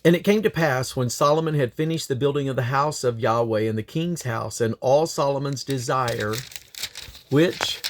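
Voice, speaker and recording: A man's voice: medium pitch, moderate pace. A man reading scripture aloud in a solemn, declamatory way, with the occasional slip and correction. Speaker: Bible reader.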